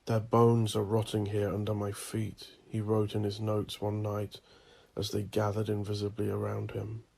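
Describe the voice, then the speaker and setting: Gravelly, husky male narrator. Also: on the low side, completely flat delivery, normal speed, darkish tone. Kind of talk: audio drama narration.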